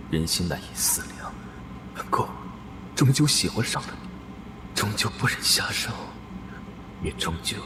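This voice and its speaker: A man's voice: lowish voice, background noise. A man voicing a sickly, regretful crown prince. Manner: solemn, regretful, measured. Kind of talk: animated drama dubbing. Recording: echoey with long reverb.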